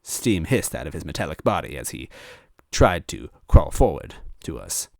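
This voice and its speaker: A man reading a story aloud as narrator in an animated style, close on the microphone. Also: very deep voice, moderate pace, vocal fry, dry recording. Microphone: close microphone, dry.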